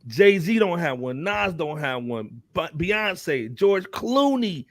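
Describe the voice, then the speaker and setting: Higher-voiced American man in his forties. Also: very animated, slow pace, dry recording, clean studio sound. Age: 40s. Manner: slangy, emphatic, repeats phrases for effect. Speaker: host of a hip hop talk show. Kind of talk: casual panel talk.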